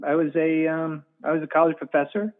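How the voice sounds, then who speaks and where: A middle-aged man, a former college professor and guest author, speaking haltingly and repeating words. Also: a little high, monotone. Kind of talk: casual interview chat. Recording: tinny phone-like line.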